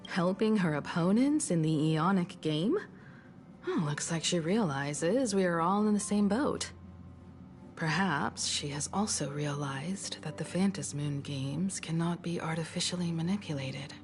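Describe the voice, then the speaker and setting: A composed, courteous woman from an anime game. Her voice sits low, with a gentle, leading manner. Faint music runs underneath. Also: expressive, a little slow. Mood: thoughtful. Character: composed, polite grown woman, gently apologetic leader.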